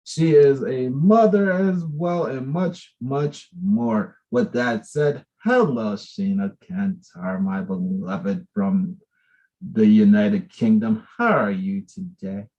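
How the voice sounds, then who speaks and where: A man's voice: lowish voice, slow pace, warm tone, muffled recording. A man hosting an interview show, effusive and chatty as he welcomes his guest. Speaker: host.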